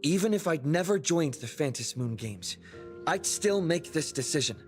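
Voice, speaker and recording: A man plays a principled young hero in a game. His fairly high voice sounds earnest and determined, over background music. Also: expressive, normal speed, natural voice. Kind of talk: video game voice acting.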